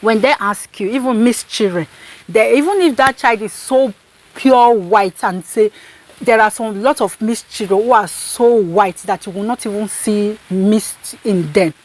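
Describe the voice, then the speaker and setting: A woman's voice: mid-range voice, measured pace, rough recording. A woman host giving her opinion in an insistent, repetitive way, restarting her sentences often. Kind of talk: commentary.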